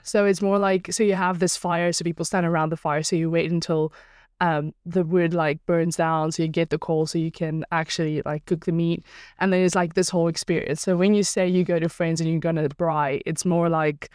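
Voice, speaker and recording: A woman's voice: fairly low pitch, flat delivery, a little fast, darkish tone, clear, resonant tone, no room sound, clean studio sound. A young woman from South Africa, a native Afrikaans speaker with a South African accent, guesting on a podcast and explaining things with many ums and likes. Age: young adult. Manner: hesitant, many ums and likes, laughs easily. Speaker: guest athlete. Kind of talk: casual podcast chat.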